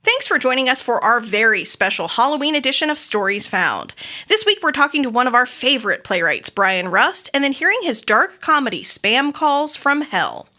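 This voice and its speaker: Bright, animated, high-voiced American woman hosting. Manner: enthusiastic, effusive, quick to laugh. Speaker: podcast host. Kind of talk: scripted introduction and casual interview chat.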